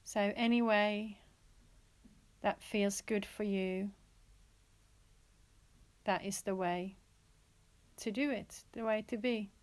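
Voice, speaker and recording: A woman teaching yoga nidra, guiding a relaxation in a gentle, soothing manner with pauses and repeated, inviting phrases. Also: mid-range voice, steady tone. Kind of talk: guided relaxation meditation.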